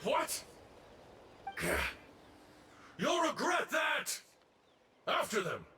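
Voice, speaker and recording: A man voicing a gruff, hostile enemy soldier with a menacing manner in a video game. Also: extremely high pitch, huge pitch swings, extremely slow, pushed and bright, heavily acted. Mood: angry. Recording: distant, echoey, noisy game capture.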